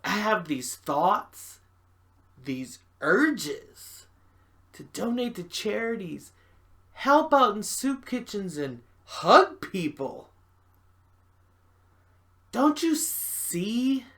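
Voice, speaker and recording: A woman performing a stage monologue as a frantic, self-confessed jerk pleading desperately, with theatrical swings from hushed to loud. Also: mid-range voice, sing-song, slow pace, exaggerated voice. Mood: anguished confession.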